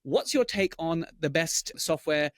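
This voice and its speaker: A man's voice: fairly high pitch, lively intonation, a bit bright, no room sound, studio quality. A man hosting a dental podcast, chatting casually with his guest and often restarting his words. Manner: quick, many restarts. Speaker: podcast host and dentist.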